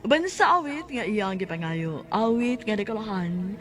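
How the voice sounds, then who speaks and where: A woman's voice: medium pitch, animated, moderate pace. A woman with a Cebuano Filipino accent delivering scripted narration as a radio drama host. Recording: music bed under the voice.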